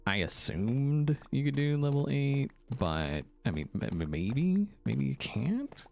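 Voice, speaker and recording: A man hosting a game live stream, chatting casually and thanking viewers, with a gravelly morning voice. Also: medium pitch, very animated, a little mellow, soft background music. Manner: playful, jokey, thanks donors rapidly. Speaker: livestream host.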